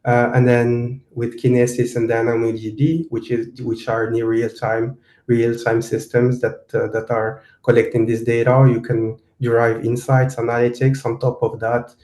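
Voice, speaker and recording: A man from Morocco giving a tech seminar talk and answering interview questions, halting with many fillers and restarts. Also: mid-range voice, monotone, dark tone. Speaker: guest speaker, technical program manager. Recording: roomy echo.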